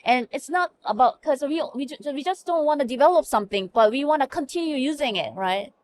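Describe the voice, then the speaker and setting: A woman's voice: sits high, expressive, fairly quick, darkish tone, a little hiss or hum. A middle-aged woman with a Korean accent, a native Korean speaker and hospital information officer, answering interview questions earnestly with many fillers and restarts. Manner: earnest, frequent fillers and self-corrections.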